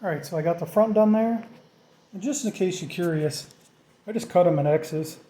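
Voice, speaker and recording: A man's voice: sits high, normal speed, mellow. A middle-aged man casually narrating a hands-on tutorial as he works, thinking aloud in an informal, self-deprecating way. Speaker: DIY vlogger.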